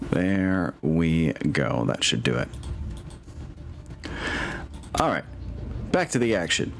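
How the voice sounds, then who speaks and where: A man's voice: deep voice, sing-song, slow pace, vocal fry, a little hiss or hum. A man acting as game master, narrating a tabletop game in a casual, easygoing way with apologetic asides. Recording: music behind the voice.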